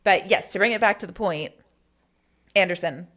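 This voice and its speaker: A woman's voice: lowish voice, moderate pace, a bit bright. A woman co-hosting a podcast, talking candidly and earnestly about getting involved in politics, with casual swearing. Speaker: podcast co-host.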